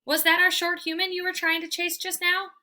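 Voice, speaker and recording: A woman voicing a gentle, wistful female dog in a children's storybook, with a plaintive manner. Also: very high voice, level tone, a little fast, voice pushed up, heavily acted. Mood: curious.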